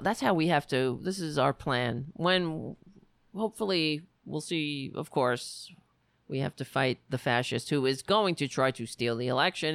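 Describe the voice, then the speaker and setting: A woman hosting a political livestream with a worn, raspy voice, talking bluntly and sarcastically while reading the chat. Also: low voice, no echo. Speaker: livestream show host.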